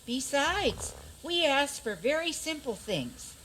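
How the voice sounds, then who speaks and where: A woman's voice: high voice, big pitch swings, slow, a bit bright, a little hiss or hum, exaggerated voice. A woman acting a plainspoken, practical fairy-tale princess in a community radio play, with a matter-of-fact manner.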